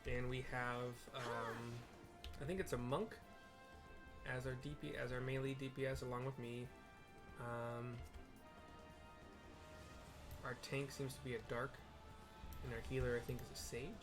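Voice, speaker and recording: Guy describing a video game as he plays, slow talker, bit of music behind. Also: medium pitch, fairly even intonation. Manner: descriptive, informal, reads dialogue aloud. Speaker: video game player narrating for viewers. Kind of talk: live game narration with audio description.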